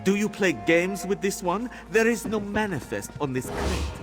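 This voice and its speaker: A stern, officious cat-man inspector in a fantasy game. His voice sits high and moves around a lot, formal and suspicious, over prominent music. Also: lively intonation, normal speed. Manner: formal, refers to himself in third person. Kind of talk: video game character acting. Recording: loud game music behind.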